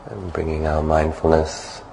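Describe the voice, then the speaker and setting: Very deep, slow-speaking man guiding meditation. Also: creaky, slight background noise, rough recording. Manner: calm, unhurried, gently instructive. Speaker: Buddhist monk leading guided meditation. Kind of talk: guided meditation instruction.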